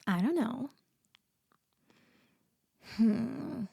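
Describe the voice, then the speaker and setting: A woman playing an upbeat, teasing, affectionate young best friend in a casual, playful and expressive ASMR roleplay, speaking one side of a conversation. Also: on the high side, extremely slow, gravelly, slightly rough, dry recording, studio quality, exaggerated voice. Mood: teasing, surprised.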